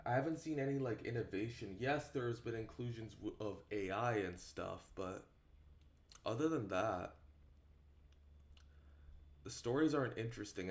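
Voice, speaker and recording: A man giving a casual, unscripted opinion talk to his viewers as an online creator, halting and rambling, often repeating himself for emphasis. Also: fairly low pitch.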